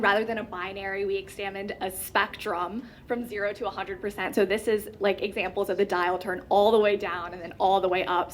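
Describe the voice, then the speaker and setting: Calm woman explaining research. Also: medium pitch, slight background noise. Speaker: data scientist presenter. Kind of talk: conference presentation.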